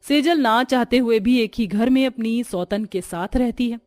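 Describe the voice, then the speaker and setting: A woman's voice: on the high side, moderate pace, darkish tone, dry recording. A woman narrating a scripted cartoon story as a storyteller.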